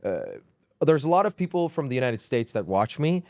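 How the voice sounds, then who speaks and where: A Dutch man in his thirties, a gaming creator chatting casually as a podcast guest, relaxed with lots of fillers. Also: medium pitch, brisk, dry recording, clean studio sound. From southern Netherlands.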